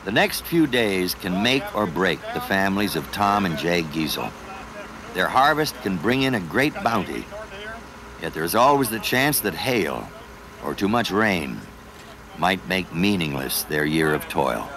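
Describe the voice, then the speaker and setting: A man reading scripted documentary narration in a measured, lyrical storytelling way. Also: low-pitched, sing-song, noisy background.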